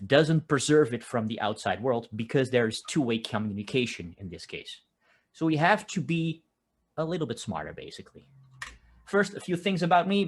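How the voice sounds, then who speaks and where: A man from the Netherlands with a Dutch accent, giving a conversational technical conference talk and often restarting his phrases. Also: mid-range voice. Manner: conversational, with frequent restarts and filler "uh". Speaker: conference speaker. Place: home office, construction noise outside.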